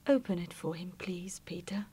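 A woman voicing a weary, gentle, grieving poor mother in a storybook, with a hushed, whispery voice. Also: on the low side, normal speed. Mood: tired, gentle. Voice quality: breathy, whispery. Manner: quiet, hushed.

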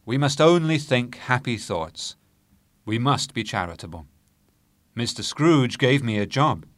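A man voicing a kindly poor father who speaks softly and gratefully. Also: medium pitch, lively intonation, unhurried, dry recording, voice pushed up. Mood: gentle. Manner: soft and kindly.

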